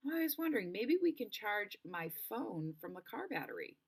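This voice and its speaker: A woman's voice: medium pitch, very animated, moderate pace, husky, pitched above the performer's own voice. A woman voicing an anxious, curious young girl stranded in the wilderness in a storybook read-aloud. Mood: uneasy. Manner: questioning, worried. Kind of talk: storybook character voice.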